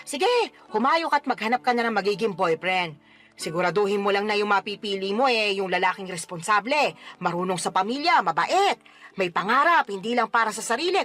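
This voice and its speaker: A middle-aged Filipino mother, blunt and teasing. She nags and banters emphatically in radio drama style, at a medium pitch over faint music. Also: a bit bright. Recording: music bed under the voice.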